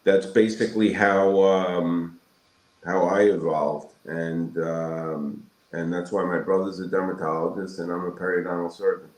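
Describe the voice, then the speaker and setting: Very deep, slow-talking man in his sixties. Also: flat delivery, a little mellow. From Brooklyn, New York, United States. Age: 60s. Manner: measured, halting, pauses between phrases. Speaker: guest, periodontal surgeon. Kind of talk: interview answers, reflective. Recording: roomy echoing sound.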